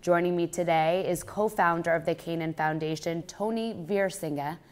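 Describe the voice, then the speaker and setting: A woman's voice: on the low side, normal speed, darkish tone, smooth. A woman with an American accent hosting a broadcast interview, with prepared introductions and questions. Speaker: TV interview host.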